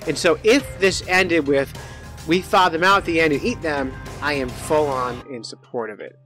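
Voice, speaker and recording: A man with a slightly high voice hosts a casual podcast. He talks informally and thinks aloud, with a joking touch as he works through news items. Music is audible with his voice. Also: moderate pace, bright, forceful tone. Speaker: podcast host.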